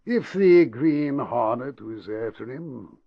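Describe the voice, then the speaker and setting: Gravelly, cynical crooked boss, animated. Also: male voice, mid-range voice, takes it slowly. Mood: smug, dismissive. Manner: brusque, confident. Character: cynical, gruff middle-aged male crooked boss. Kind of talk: old-time radio drama acting. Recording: dull lo-fi vintage radio recording.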